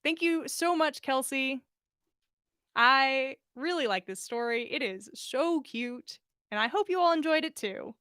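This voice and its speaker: Female podcast host for story time, high-pitched, casual delivery, dry sound without room echo. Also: animated, measured pace, sharp tone. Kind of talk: fan fiction reading and casual livestream chat.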